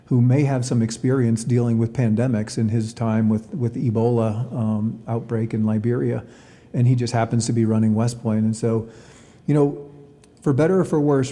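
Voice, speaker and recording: A man answering interview questions as a college athletics director, in a measured way with hesitant, repeated words. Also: medium pitch, mellow, in a large hall.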